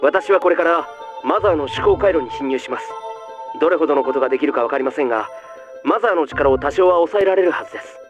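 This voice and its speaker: A man's voice: on the high side, animated, quick pace, close to an everyday voice. A man voicing a polite, earnest servant in a video game, speaking with formal, humble courtesy. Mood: resolute, humble. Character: polite, earnest, dutiful male servant ally. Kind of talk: Japanese video game cutscene acting. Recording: loud music over voice.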